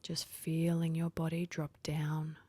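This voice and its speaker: A woman guides a sleep meditation in a lowish voice that is very breathy and close to a whisper. She speaks very slowly and gently, letting each phrase settle before the next. The sound is dry and intimate, with no room around it. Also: monotone, no echo. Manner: gentle, unhurried, with long pauses between phrases.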